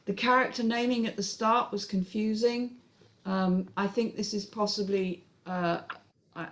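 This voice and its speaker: A woman hosting a panel and giving measured, candid feedback on a script. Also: mid-range voice. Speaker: host and script critic.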